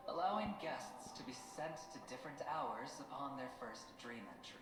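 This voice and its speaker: An actor playing a self-assured male gambler-schemer in a video game, speaking in a theatrical, teasing, deliberate storytelling manner. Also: medium pitch, animated, natural voice. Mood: measured, wry. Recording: echoey game audio under music.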